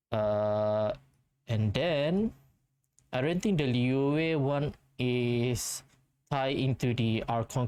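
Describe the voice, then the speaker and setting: Slow, halting male gameplay commentator. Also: mid-range voice, darkish tone, clean tone. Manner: halting, rambling, thinking aloud. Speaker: gaming video host. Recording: game music behind the voice. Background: video game music.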